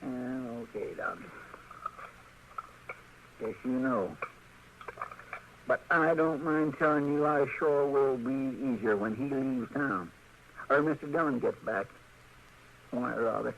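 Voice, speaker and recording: An earnest, plaintive cowtown sidekick talks in a homespun rural Western American drawl. His voice is sharp and gravelly, on a slightly noisy vintage radio western recording. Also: mid-range voice, slow pace, long pauses. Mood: worried. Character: earnest, folksy, worrying frontier sidekick, a man. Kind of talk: 1950s radio western acting.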